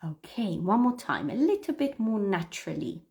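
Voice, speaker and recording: A woman hosting a live English pronunciation lesson, explaining things to viewers in an instructive, expressive way with emphasis and frequent checks for understanding. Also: mid-range voice, normal speed, creaky. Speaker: English pronunciation teacher, livestream host. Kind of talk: live lesson talking to viewers, demonstrating read-aloud.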